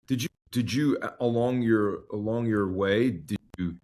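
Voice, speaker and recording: A man hosts a conversational interview, asking curious questions that he often rephrases mid-sentence. His speaking voice sits a bit low. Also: measured pace, a little mellow. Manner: curious, prompting questions with restarts. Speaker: podcast host, interviewer.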